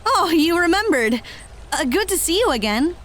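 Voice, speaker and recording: A woman's voice: extremely high pitch, sing-song, moderate pace, fairly bright tone, slight background noise, full character voice. A woman playing an upbeat, friendly and enthusiastic female fighter in an audio drama. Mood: surprised, friendly.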